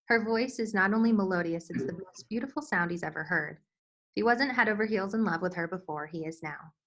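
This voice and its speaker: A woman's voice: medium pitch, fairly quick, fairly bright tone. A woman reading stage directions as scripted narration at a screenplay table read.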